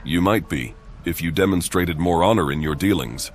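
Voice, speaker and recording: An actor playing a calm, principled paladin adventurer in a fantasy game, speaking plainly and in a measured way. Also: male voice, low voice, soft background music, natural voice. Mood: calm, firm.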